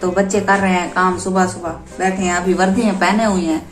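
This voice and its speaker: A woman with a hoarse, sore throat, chatting casually to her viewers while doing housework. She is from Pakistan and talks in a friendly way, sending blessings to her subscribers. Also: lowish voice, level tone, a little fast, echoey room, soft background music. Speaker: vlogger and mother. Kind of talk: casual home vlog chat. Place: inside a home.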